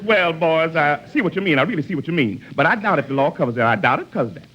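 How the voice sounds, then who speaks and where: An actor playing an excitable, shifty lawyer in 1940s radio comedy, folksy and forever repeating his own phrases. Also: a little high, quick pace, natural voice. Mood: brisk, dismissive. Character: excitable, shifty male lawyer who echoes himself. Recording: muffled, noisy 1940s radio broadcast.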